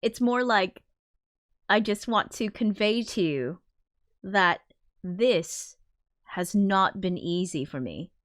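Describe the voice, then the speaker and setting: A young woman talks casually into a close, dry microphone, a little sick and sniffly. She rambles in a chatty, self-deprecating way, swears now and then, and her voice sits at a middle pitch. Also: expressive, slow pace, long pauses, no echo. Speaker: livestream host. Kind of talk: casual livestream chat.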